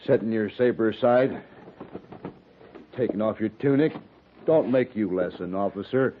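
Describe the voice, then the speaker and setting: An American actor playing a cowardly, blustering soldier in a 1950s radio western, defensive and stammering. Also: male voice, fairly low pitch, normal speed, lightly acted. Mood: defiant, frightened. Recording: tinny, noisy 1950s radio recording.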